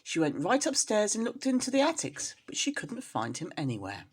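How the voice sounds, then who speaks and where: A woman reading a children's story aloud as a storyteller, in an expressive style. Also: mid-range voice, normal speed, husky.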